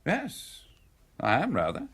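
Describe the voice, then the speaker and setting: A man's voice: mid-range voice, wildly swooping pitch, slow, fairly bright tone, husky, heavily acted. A man playing a brusque, confident British character in a radio play, terse and clipped. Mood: terse, cool.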